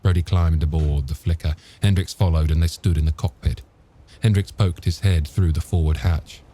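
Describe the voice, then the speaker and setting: A man's voice: very deep voice, fairly even intonation, moderate pace, mellow, husky. A man reading an audiobook as narrator, in a scripted storytelling delivery.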